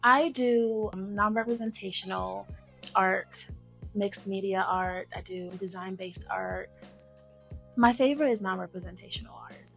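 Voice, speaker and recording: A woman's voice: medium pitch, measured pace, vocal fry, a bit bright, faint music behind. A woman guest from Memphis whose first language is Arabic, speaking casually with fillers and restarts. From Memphis, Tennessee, US. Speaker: guest artist. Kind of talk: casual conversational interview.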